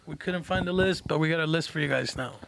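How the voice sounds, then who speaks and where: Young male co-host, higher-pitched voice, informal slangy conversation. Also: fast, gravelly, a bit bright, no room sound, low-quality recording. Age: young adult. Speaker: podcast co-host. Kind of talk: casual chat, slangy with swearing.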